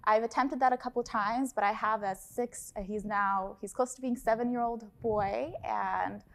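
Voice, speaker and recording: Brief: woman, 30s, from Lebanon, study abroad advisor, upbeat and chatty, a touch of vocal fry. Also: fairly high pitch, steady tone, moderate pace. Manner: upbeat, enthusiastic, chatty with fillers. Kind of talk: casual interview talk.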